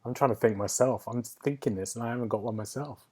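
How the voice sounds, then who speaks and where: A man's voice: mid-range voice, fast talker, a little mellow, slightly rough. A man hosting a casual gaming podcast, chatting and putting questions in an informal way. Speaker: podcast host.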